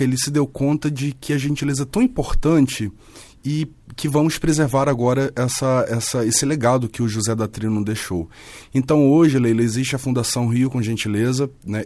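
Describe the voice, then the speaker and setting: A man's voice: medium pitch, darkish tone, dry recording. Earnest carioca man, radio guest. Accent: Carioca Brazilian Portuguese. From Rio de Janeiro, Brazil. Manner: earnest, explanatory, with frequent restarts. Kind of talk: radio interview.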